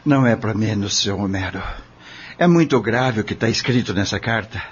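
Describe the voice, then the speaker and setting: A man's voice: lowish voice, moderate pace, close to an everyday voice. A man acting an earnest, anguished farm worker in an audio drama, with emotional, emphatic, plain country speech. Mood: grieving, shocked. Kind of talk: dramatic radio-novela acting. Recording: dull lo-fi audio drama recording. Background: prominent background music.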